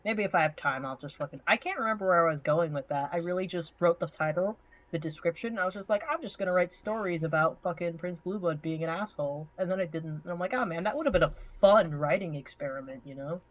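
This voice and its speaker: A woman chatting casually and profanely on a livestream, joking in an animated way and reading out comments. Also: on the low side, fast talker, rough recording. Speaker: livestream co-host.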